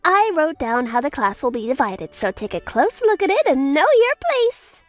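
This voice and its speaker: Woman doing a gushy greedy anime teacher, super high lively voice. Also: moderate pace, no echo, faint music behind. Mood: brisk, condescending. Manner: gushing, peppers talk with French phrases. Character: bubbly, money-obsessed young female schoolteacher. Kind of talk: manga dub voice acting.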